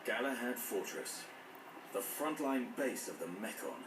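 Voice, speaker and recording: A man's voice: on the low side, big pitch swings, a little slow, crowd noise. A man with a British accent playing a serious, forthright young warrior in a fantasy video game. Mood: grave, resolute. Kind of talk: video game cutscene acting. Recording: echoey, under loud game music.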